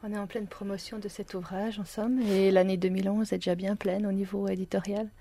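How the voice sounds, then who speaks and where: A woman's voice: medium pitch, brisk, gravelly. A woman publisher and librarian explaining matter-of-factly in a radio interview. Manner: matter-of-fact, even explanation.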